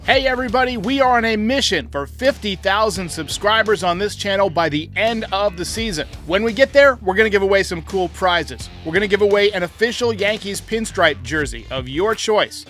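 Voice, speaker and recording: A man's voice: sits high, lively intonation, brisk, few pauses, a bit bright, soft background music. A man hosting a podcast, answering fan voicemails with emphatic, opinionated sports talk. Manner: emphatic, argumentative.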